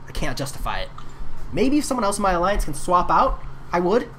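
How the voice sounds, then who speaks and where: A man's voice: high voice, big pitch swings, brisk, fairly bright tone, slight background noise. A man streaming a mobile game, chatting casually with viewers while he plays. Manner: chatty, answers chat viewers. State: voice getting worn. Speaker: gaming streamer. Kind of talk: casual live commentary while playing.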